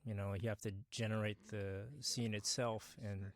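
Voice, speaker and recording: A man's voice: fairly low pitch, flat delivery, moderate pace, dry recording, clean studio sound. A middle-aged man, an animator, giving casual audio commentary in an earnest, explanatory way.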